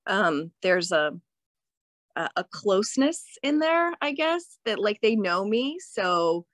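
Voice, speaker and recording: A woman's voice: medium pitch, very animated, slow pace, dry recording, studio quality. A middle-aged woman from Croatia, a guest in a casual interview, cheerful and laughing often as she talks. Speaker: guest, plant seller.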